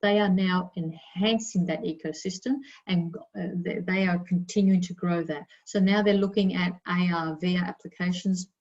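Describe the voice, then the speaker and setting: A woman whose first language is Italian gives a chatty, emphatic webinar talk, dotted with fillers. Her voice sits a little low. The audio is dull and muffled. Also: fairly even intonation, moderate pace, few pauses, darkish tone. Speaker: innovation presenter. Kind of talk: webinar presentation.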